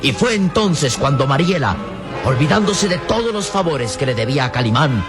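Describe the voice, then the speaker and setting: A male announcer and narrator of an old radio drama, his voice fairly high. He declaims solemnly and theatrically, with prominent music running behind him. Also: sing-song, moderate pace.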